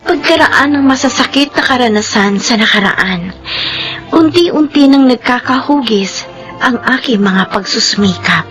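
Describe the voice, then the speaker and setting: Earnest young student, highish voice, reflective storytelling, radio drama, music clearly underneath. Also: female voice, a bit bright. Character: Vina, determined young college student telling her life story. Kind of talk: first-person radio drama narration.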